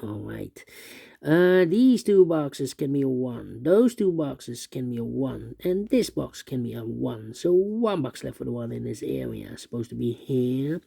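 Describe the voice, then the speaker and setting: A man's voice: medium pitch, sing-song, unhurried, creaky, dark tone, breathy edge, no room sound. A man giving an unscripted puzzle tutorial, thinking aloud in methodical, repetitive sing-song phrases. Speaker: puzzle instructor.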